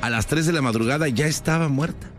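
A man's voice: a little high, quick pace, a bit bright. A man with a Mexican accent narrating true crime in an informal, explanatory way, with conversational asides. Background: background music.

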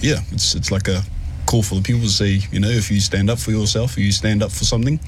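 A man with a New Zealand accent chatting casually and haltingly as a musician guest in an interview. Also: fairly low pitch, fairly even intonation, a little hiss or hum. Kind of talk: casual chat.

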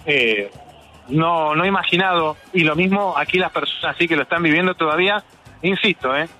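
A man reports live from a community center, his voice high and bright as he describes the scene fluently and politely questions the people there. Music plays faintly behind him. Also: moderate pace, low-quality recording. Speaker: field reporter. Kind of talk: live remote radio report. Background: music playing in the background.

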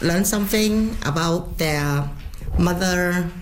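Lower-pitched woman, Cantonese native, earnest and loosely phrased, teacher interview. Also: slow, music in the background. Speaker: language teacher. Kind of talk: interview answers.

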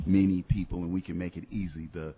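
A man's voice: low voice, little pitch movement, fast, dark tone, slightly rough. A man hosting a live radio talk show, encouraging callers in a preachy, faith-filled way. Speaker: radio host.

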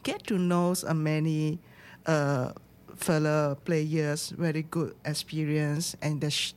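Low-voiced woman, hesitant guest. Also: level tone, slow pace, a little mellow, smooth. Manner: hesitant, searching for words, laughs often. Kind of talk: casual chat.

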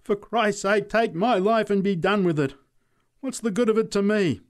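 Storybook role: despairing male vagrant, acted by a man, high and wild, calling out. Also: moderate pace, close to an everyday voice. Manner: calling out wildly. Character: desperate, wild one-armed derelict man. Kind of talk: storybook character voice.

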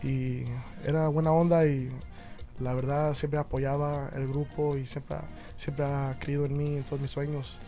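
A young man in his twenties from Indianapolis talks about his band with excited, slangy energy. His voice is a little high and warm in tone. Faint music plays behind him. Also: unhurried. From Indianapolis, USA. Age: 20s. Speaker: band singer. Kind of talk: casual interview.

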